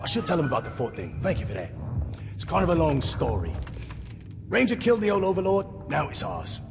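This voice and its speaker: Small gravelly game orc, high voice, jokey rambler, music underneath. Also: male voice, huge pitch swings, a little fast. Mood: breezy chatter. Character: chatty, excitable, scrappy little orc, cheerfully nervous. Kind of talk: video game character acting. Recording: music behind the voice.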